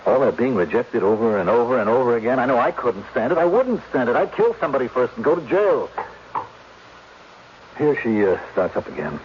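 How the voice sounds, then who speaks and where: A man's voice: medium pitch, very animated, brisk, lightly acted. A man playing a blunt, unsympathetic character who confides wryly in the listener in a 1970s radio drama. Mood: wry, exasperated. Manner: wry, colloquial, confiding. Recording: muffled 1970s radio recording, faint noise.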